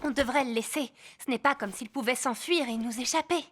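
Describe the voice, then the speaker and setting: A woman's voice: high-pitched, brisk, fairly bright tone, husky. A woman playing a kindly, eager police officer in a French cartoon dub.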